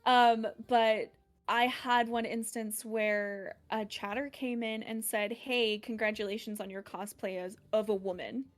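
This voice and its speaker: Woman streamer with a deep voice. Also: steady tone, a little slow, faint music behind. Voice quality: deeper voice for a woman. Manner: rambling, laughs often, playful. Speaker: streamer, guest. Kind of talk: casual interview chat.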